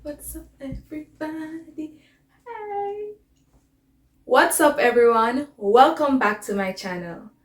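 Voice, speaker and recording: Young female vlogger, Caribbean accent, unhurried delivery, informal rambling storytime chat, recorded in an echoey room. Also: sits high. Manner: informal, digressive, conversational. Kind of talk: casual storytime chat to camera. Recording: echoey, roomy sound.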